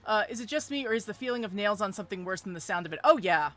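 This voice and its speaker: A sick woman streaming a video game, chatting and reacting easily startled, her voice deeper than usual from illness. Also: lively intonation, racing, fairly bright tone. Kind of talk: let's play commentary.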